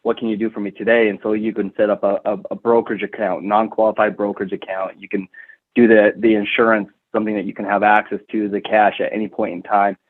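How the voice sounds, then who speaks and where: A man's voice: fairly low pitch, level tone, quick pace, dry recording. A man from Utah, a wealth manager and podcast guest, explaining his work conversationally over a thin, phone-like connection. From Utah, United States. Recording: thin phone-like line, dry.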